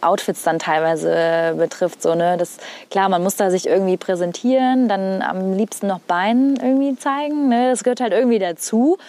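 A woman's voice: mid-range voice, moderate pace, few pauses, dark tone. A young woman from northern Hesse, a TV weather presenter, chatting casually and thoughtfully in an outdoor interview, with many fillers. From northern Hesse, Germany.

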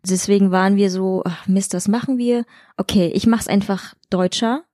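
A woman's voice: medium pitch, steady tone, moderate pace, dark tone, dry recording, clean studio sound. A woman from eastern Germany, an actress, talking candidly and colloquially in a casual interview. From Chemnitz, Germany.

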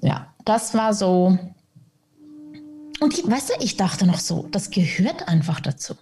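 A woman's voice: mid-range voice, very animated, unhurried, mellow. A woman speaking openly and reflectively as an interview guest, with a self-mocking edge. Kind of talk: candid personal interview talk.

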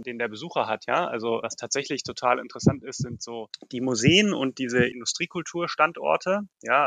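Man, from the ruhr area, gravelly voice, laid-back, filler-heavy, dry close sound. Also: medium pitch, no echo. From Ruhr area, Germany. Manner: relaxed, many filler phrases. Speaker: test lab engineer, guest. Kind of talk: casual interview answers.

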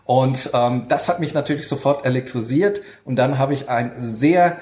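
A man's voice: medium pitch, moderate pace, a little mellow. A man telling his story as a podcast guest, fluent, with many fillers. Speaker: guest, real estate investor. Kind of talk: podcast interview. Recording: roomy echo.

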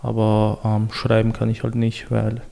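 Guy who grew up speaking chechen, gravelly voice, kinda monotone, laid back. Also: lowish voice, moderate pace. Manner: laid-back, hesitant with fillers. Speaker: podcast guest. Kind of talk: casual interview chat.

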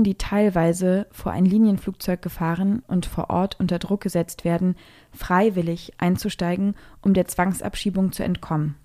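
A woman's voice: fairly low pitch, mellow. A woman presenting scripted news, reading it aloud.

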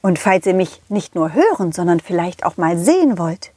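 A woman voicing a confident, loyal, kindly dog who tells his adventures to children in a chatty, halting, empathetic way. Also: lowish voice, very animated, mellow, slightly rough, dry recording. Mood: earnest, kindly. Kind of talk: children's audio play storytelling.

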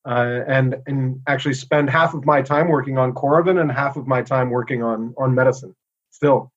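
A middle-aged American man speaking as a guest in a casual interview, relaxed and thoughtful with frequent pauses. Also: medium pitch, muffled recording. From United States. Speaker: inventor and guest.

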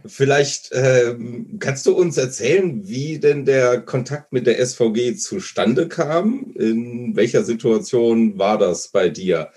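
A man's voice: medium pitch, slow pace, a little mellow, slightly rough. A man hosting a podcast interview, asking questions in a friendly, encouraging way. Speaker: podcast host.

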